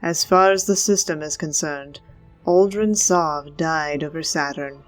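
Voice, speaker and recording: Radio play: actress as resolute, guarded female commander, troubled, low and unhurried, faint music underneath. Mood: urgent, commanding.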